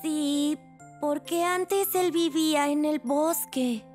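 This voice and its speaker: An actor voicing a young, tender-hearted, easily scared boy in a Latin American Spanish children's cartoon, expressive and earnest. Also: high voice, takes it slowly. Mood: sad. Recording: music behind the voice.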